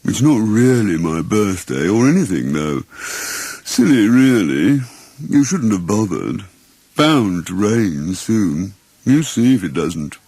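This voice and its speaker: A man voicing a gloomy, self-pitying old donkey in a storybook, slow and drawn-out in a martyred, resigned way. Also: fairly low pitch, sing-song, darkish tone, breathy edge, dry recording, exaggerated voice. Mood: mournful, resigned.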